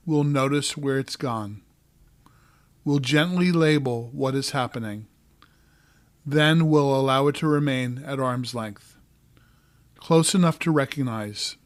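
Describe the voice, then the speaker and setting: A man narrating a guided meditation as a coach, giving calm, gentle instructions in short, measured phrases. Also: mid-range voice, no echo.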